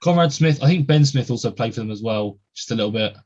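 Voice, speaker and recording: A man's voice: medium pitch, animated, quick pace, a little mellow. Young male host, chatty and filler-heavy; muffled lo-fi recording. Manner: chatty, many ums and uhs. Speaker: podcast host. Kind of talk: casual podcast chat.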